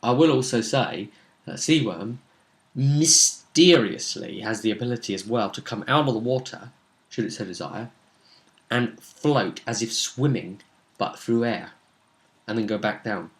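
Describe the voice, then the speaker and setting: A man's voice: mid-range voice, measured pace. A man acting as game master and host, casually recapping a role-playing session in a storytelling way that teases suspense.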